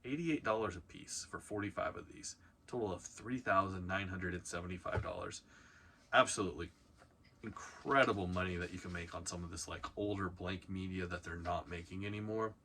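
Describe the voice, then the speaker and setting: A man with a fairly low, bright and forceful voice presents a countdown like a video host. He talks informally and leans hard on key items. There is some noise in the background of the recording. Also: brisk. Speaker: host of a reselling video channel. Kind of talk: casual countdown commentary to camera.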